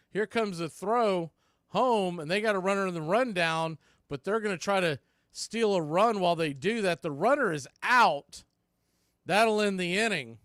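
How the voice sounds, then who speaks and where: Higher-pitched man calling baseball, close and dry. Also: a bit bright, no echo. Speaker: play-by-play announcer, host. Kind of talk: live baseball radio commentary.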